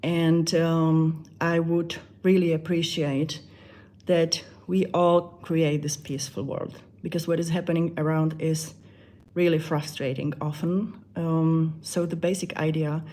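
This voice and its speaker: A woman with a Czech accent, a meditation channel creator chatting softly and hesitantly on a live stream, with many fillers. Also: sits low, flat delivery, unhurried. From Czech Republic.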